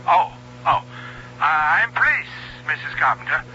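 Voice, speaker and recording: Concerned american cop, radio play, high voice, hissy muffled old recording with hum. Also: male voice, sing-song, sharp tone, heavily acted. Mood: grave. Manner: halting, concerned. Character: earnest, hesitant male police officer. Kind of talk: old-time radio drama acting. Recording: dull noisy old radio broadcast, hum.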